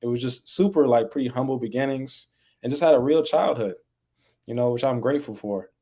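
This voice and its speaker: A young man talking casually as a podcast guest, relaxed and full of fillers, raised in the American Midwest. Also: mid-range voice, a little fast, slightly rough. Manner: relaxed, laid-back, many fillers like "like" and "you know". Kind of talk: casual interview chat.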